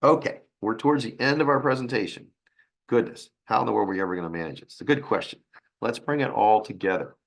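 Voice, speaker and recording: Raspy low-voiced man giving a webinar. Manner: halting, with restarts and fillers. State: hoarse from a sinus infection. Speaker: financial advisor presenting a webinar. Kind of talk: webinar presentation.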